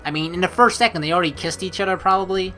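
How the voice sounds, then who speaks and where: A woman hosting a let's play, reading game narration and dialogue aloud with playful, expressive commentary. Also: on the low side, rapid-fire. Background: game music.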